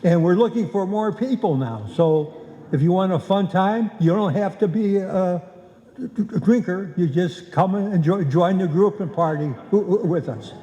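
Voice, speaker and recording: An older man speaking off the cuff at a live event, informal and halting, repeating words as he goes. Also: high-pitched, dark tone, muffled recording. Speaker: honoree from an amateur radio drama club. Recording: echoey hall, faint room noise.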